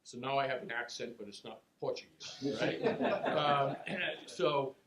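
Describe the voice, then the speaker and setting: Halting man. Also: mid-range voice, very noisy. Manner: relaxed, halting with many fillers. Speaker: state senator. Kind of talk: panel remarks.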